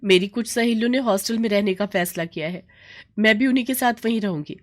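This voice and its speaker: A woman's voice: medium pitch, fast talker, voice pushed up, close to an everyday voice. A woman voicing a headstrong, complaining young working daughter in a storybook tale. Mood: defiant. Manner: insistent, complaining.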